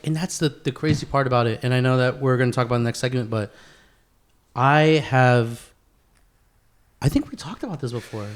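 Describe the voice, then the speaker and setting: A male podcast co-host with a middle-pitched voice chats casually. His talk is loose and slangy, full of swearing and buddy-style address. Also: fairly quick, long pauses. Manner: profane, slangy, peppered with "bro" and "dude".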